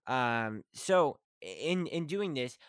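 A young man hosts his weekly show, chatting about acting in a loose, rushed way. He trips over his words and restarts sentences often. His voice sits at an ordinary pitch, and the recording is dry and close. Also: big pitch swings, a bit bright, clear, resonant tone, no echo. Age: young adult. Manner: fast, stumbling, restarting often. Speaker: podcast host. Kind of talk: casual talk about acting.

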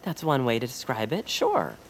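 An actress playing an anxious, polite, easily flustered young homebody in an audio drama, earnest and fretful. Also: female voice, extremely low pitch, wildly swooping pitch, moderate pace, a little hiss or hum, full character voice. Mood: exasperated. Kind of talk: audio drama character acting.

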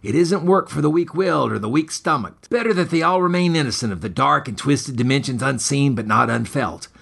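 A man narrates in a middle-pitched voice. His delivery is scripted and theatrical, with lively rises and falls. He speaks with the ornate, melodramatic manner of an author presenting his own tale. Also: brisk, gravelly. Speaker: author and podcast host. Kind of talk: scripted dramatic story narration.

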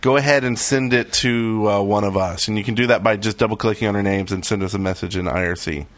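A man's voice: on the low side, fairly even intonation, quick pace. A man co-hosting a podcast in a casual, matter-of-fact chatting style. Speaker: podcast co-host. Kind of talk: casual group podcast chat.

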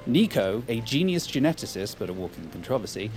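An actor playing a dry, dismissive futurist in an audio drama. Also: male voice, on the low side, sing-song, fairly quick, a little mellow, soft background music. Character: smug rival tech futurist man.

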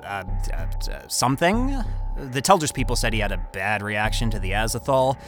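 An actor playing a cocky, talkative young job candidate who stammers and rambles in a naturalistic audio drama. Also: male voice, fairly low pitch, lively intonation, normal speed, fairly bright tone, soft background music. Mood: nervous, awkward. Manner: stammering, rambling, self-interrupting.

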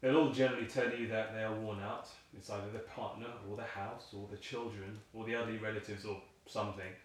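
A man's voice: fairly low pitch, steady tone, fast, close to an everyday voice. An actor playing a weary, troubled father in an audio play, grumbling and philosophising in pointed questions. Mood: musing, exasperated. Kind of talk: audio play acting, musing dialogue. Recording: very echoey room, faint noise.

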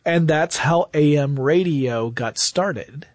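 Male podcast host, slightly high voice, conversational explainer, storytelling manner, dry close sound. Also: takes it slowly, no echo.

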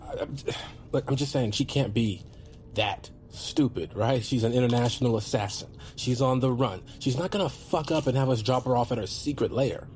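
A man's voice: mid-range voice, normal speed, a little mellow, a little hiss or hum, natural voice. An actor playing a skeptical man on a stakeout, arguing casually in a naturalistic audio drama. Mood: doubtful, nagging. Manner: casual, argumentative.

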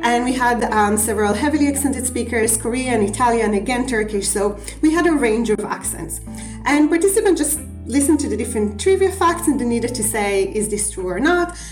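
A woman researcher with an Israeli accent, describing her study in a hesitant way with many pauses. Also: fairly high pitch, animated, music in the background. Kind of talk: interview answers. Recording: echoey room.

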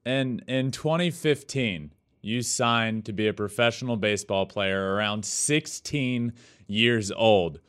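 A man's voice: fairly low pitch, takes it slowly, a bit bright, clean tone. A man hosting a conversational sports interview, speaking in an enthusiastic, effusive manner.

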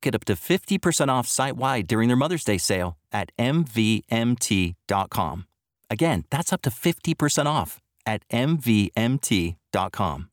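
A man delivers a scripted ad read. His voice is mid-pitched and animated in melody, recorded close and dry. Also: moderate pace, no room sound. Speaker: advertisement announcer.

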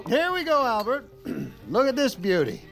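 An actor playing a boozy, folksy, fast-talking charmer of a Washington insider in an audio drama, full of rambling, jokey bluster. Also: male voice, very high-pitched, wildly swooping pitch, a bit bright, soft background music, exaggerated voice. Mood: theatrical, boozy.

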